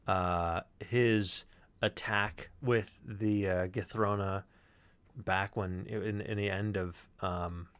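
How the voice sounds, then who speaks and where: A man talking as a sound designer, explaining his work casually in an animated, discursive way. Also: fairly low pitch, slow, clean tone.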